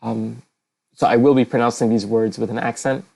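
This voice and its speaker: A man's voice: mid-range voice, steady tone, moderate pace, creaky, mellow. A man presenting a casual slide lecture over a voice channel, hesitant, with many fillers and self-corrections. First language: not Kazakh. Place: online voice channel talk.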